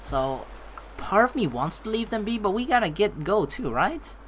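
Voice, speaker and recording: A woman's voice: mid-range voice, big pitch swings, normal speed, a little hiss or hum. A woman doing chatty let's play commentary, reading game lines aloud expressively. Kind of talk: casual gameplay commentary and script reading.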